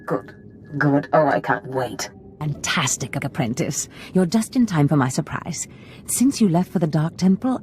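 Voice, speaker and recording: A gleeful, scheming female Sith master in a video game. Her lowish, mellow voice swings expressively, theatrical and chatty, with a purr and a bookish streak. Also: slight background noise. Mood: teasing eagerness. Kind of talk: video game cutscene acting.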